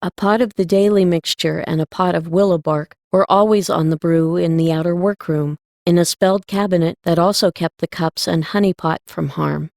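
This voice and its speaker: A woman's voice: on the low side, steady tone, moderate pace, vocal fry, few pauses, darkish tone, dry recording, clean studio sound. A woman narrating a scripted story as an audiobook reader.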